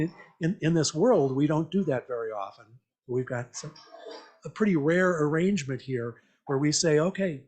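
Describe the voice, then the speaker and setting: Man, fairly high-pitched voice, informal lay sermon, conversational, reflective, halting phrasing, questioning asides. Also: a little mellow, slightly rough. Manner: invites listeners with questions. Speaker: lay church speaker. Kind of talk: informal interactive church message.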